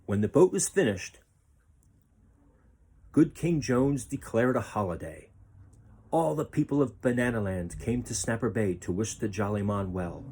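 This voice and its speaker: A man reading a children's picture book aloud as a storyteller, with expressive, deliberate phrasing. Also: on the low side, husky.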